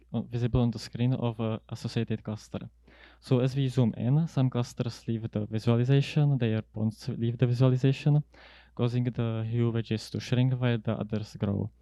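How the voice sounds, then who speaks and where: A young man giving a prepared academic talk as a student researcher, explaining in a measured, somewhat halting way. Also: mid-range voice, dark tone, dry recording. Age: young adult. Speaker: student researcher presenting a paper. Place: indoor seminar room at a lectern. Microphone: handheld microphone close to mouth.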